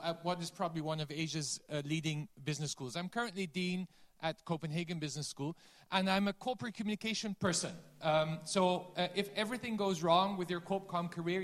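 A man with a Dutch accent, a business school dean giving a lively conference talk and quizzing the audience. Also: on the high side. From Netherlands. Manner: lively, quizzes the audience, self-deprecating asides.

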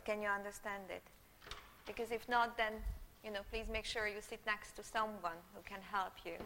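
Middle-aged Hungarian woman lecturer, native Hungarian, bright, forward voice, chatty, keeps checking in with the room, recorded in a reverberant hall. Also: mid-range voice, vocal fry, a little hiss or hum. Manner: chatty, checks in with the audience often. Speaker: university lecturer. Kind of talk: live university lecture. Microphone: room microphone.

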